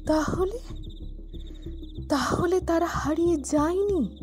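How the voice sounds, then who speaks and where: A woman's voice: very high-pitched, slow, darkish tone. An actress playing an astonished, searching female investigator in an audio thriller, speaking with hesitant wonder. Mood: astonished, questioning. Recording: music under the voice.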